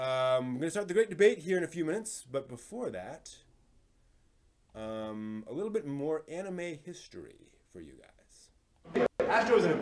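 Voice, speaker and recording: A man's voice: fairly high pitch, a little mellow. A man hosting a live stream, giving an informal, enthusiastic lecture with emphatic asides. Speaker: live stream host and presenter. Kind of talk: informal lecture on anime history to an audience. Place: reverberant indoor room. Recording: very echoey room, distant mic, faint noise.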